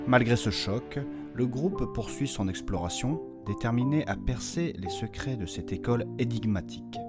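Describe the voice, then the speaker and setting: A man's voice: medium pitch, animated, moderate pace. A man reading a scripted narration, recapping a fantasy audio drama. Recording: loud music bed. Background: music.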